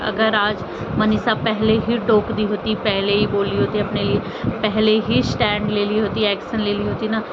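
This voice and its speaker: A woman vlogger giving casual commentary on family drama, rambling and repeating phrases for emphasis. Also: mid-range voice. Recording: muffled audio with loud outdoor traffic noise.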